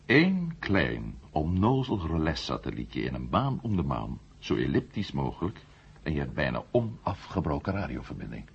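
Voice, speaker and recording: An actor playing an indignant, insistent journalist with a husky voice in a 1970s radio play. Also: male voice, very deep voice, wildly swooping pitch, normal speed, heavily acted. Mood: indignant, urgent. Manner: insistent, challenging. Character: indignant, sharp-minded male journalist challenging the military. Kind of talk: 1970s Dutch science fiction radio drama acting. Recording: dull vintage radio play recording.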